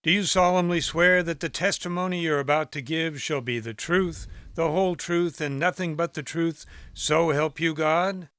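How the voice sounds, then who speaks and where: A ceremonious court clerk in a radio play, stretches words out, sharp and crisp, dry sound. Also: male voice, fairly high pitch, no room sound. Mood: solemn. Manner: formal, drawn-out proclaiming. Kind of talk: satirical radio drama acting.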